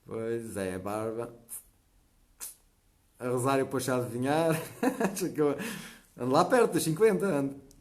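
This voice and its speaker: A man's voice: medium pitch, darkish tone. A man in his forties with a European Portuguese accent, hosting a natural health live stream and chatting through viewer comments. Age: 40s. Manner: chatty, often checks in with a quick "tá?". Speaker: natural health channel host.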